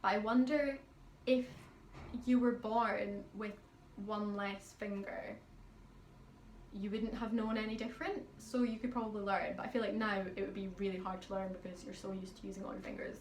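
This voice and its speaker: A young adult woman from Scotland with a Highland Scottish accent, chatting upbeat through a Q&A as a pianist vlogger. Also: steady tone, normal speed, echoey room, slight background noise. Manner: chatty and upbeat, reads out questions then answers. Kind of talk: casual Q&A chat.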